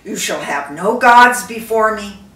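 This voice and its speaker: Slow, earnest young prince read by a woman. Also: mid-range voice, expressive, pushed and bright. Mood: earnest, proud. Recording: roomy, distant microphone.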